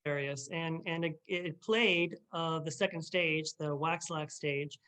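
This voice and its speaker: A man hosting a casual interview, speaking effusively in halting phrases. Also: fairly high pitch, pushed and bright. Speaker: interview host.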